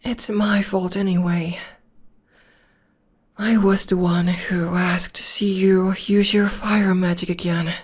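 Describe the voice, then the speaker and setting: A soft-spoken young witch girlfriend, played by a woman murmurs close to the ear, her breathy voice unhurried and slow. She drifts between hushed whispers and quiet speech, tender and reassuring. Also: on the low side, level tone. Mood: soothing. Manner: soft, often whispered, soothing. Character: gentle young witch girlfriend comforting her demon partner. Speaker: voice actress. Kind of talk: ASMR audio roleplay.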